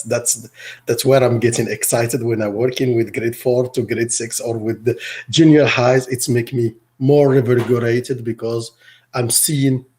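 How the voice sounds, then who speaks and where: Arabic-speaking man, halting with restarts. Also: medium pitch, a little mellow. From Morocco. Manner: halting, many repeated words and restarts. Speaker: teacher. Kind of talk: interview answers.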